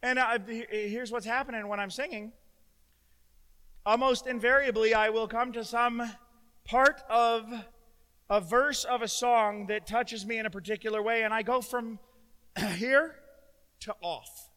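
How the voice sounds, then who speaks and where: A middle-aged man preaching a sermon as pastor, loud and emotional, with self-deprecating humor. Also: very high voice, fairly even intonation, measured pace, long pauses, smooth. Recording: long hall reverb.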